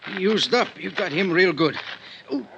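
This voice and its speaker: An actor playing a wounded small-time killer in a 1950s radio drama, gasping in pain with a strained, whining, broken way of speaking. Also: male voice, animated, normal speed, lo-fi sound. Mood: pained, pleading. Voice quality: strained, creaky, high voice. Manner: broken-grammar, gasping, pleading speech. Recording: noisy old radio recording.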